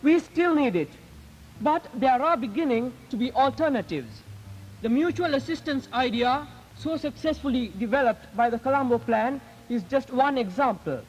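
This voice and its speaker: A man's voice: very high-pitched, animated, moderate pace, faint music behind, low-quality recording. A teenage male youth delegate, giving an earnest, declamatory formal speech in a 1950s archival recording. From Sri Lanka. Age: teens. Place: public speaking event.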